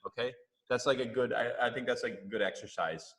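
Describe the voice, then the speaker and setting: A man's voice: medium pitch, fairly quick, fairly bright tone. A man leading an online music class, explaining things loosely with lots of fillers over a video call. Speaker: music teacher leading a group session. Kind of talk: casual online class talk.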